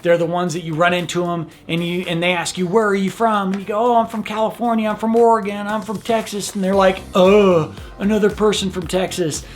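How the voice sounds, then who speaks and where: A chatty middle-aged man from Colorado talks straight to the camera in a loose, joking way, stumbling and restarting now and then. His voice sits a little higher than most men's. Soft music plays underneath. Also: level tone, a little fast, few pauses. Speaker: real estate host of a city channel. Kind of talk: casual talking-to-camera video.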